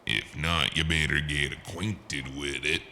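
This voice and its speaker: Man as very deep, slow underworld henchman. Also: steady tone, pushed and bright, a little hiss or hum, exaggerated voice. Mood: calm menace. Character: smooth-talking, businesslike underworld henchman, calm negotiator. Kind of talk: noir audio drama acting.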